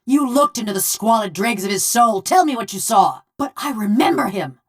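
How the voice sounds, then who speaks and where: A woman voicing a bitter, sardonic construct woman in a video game, a rough rural killer who talks in folksy, ungrammatical, taunting speech. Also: fairly high pitch, expressive, moderate pace. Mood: furious, demanding. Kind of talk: video game character dialogue.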